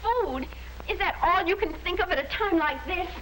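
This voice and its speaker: An actress playing a gushing, hot-tempered American teenage sister in a 1950s sitcom-style film. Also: female voice, very high voice, expressive, moderate pace, a bit bright. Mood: indignant. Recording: noisy vintage 1950s film soundtrack.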